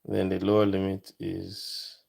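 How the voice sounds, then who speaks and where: A man giving a casual, unscripted electronics demonstration, thinking aloud in short, halting phrases. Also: sits low, completely flat delivery, gravelly, a little mellow. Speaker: electronics hobbyist presenter.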